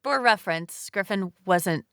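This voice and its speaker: A woman's voice: mid-range voice, expressive, measured pace, fairly bright tone, smooth, no room sound, studio quality. A woman talking casually in a group discussion. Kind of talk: casual chat.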